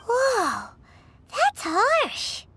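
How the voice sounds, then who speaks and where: A woman voicing a small childlike fairy companion in a video game, squeaky, inquisitive and full of exclamations and questions. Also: huge pitch swings, drawn-out words, creaky, over music, heavily acted. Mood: surprised. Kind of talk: animated video game acting.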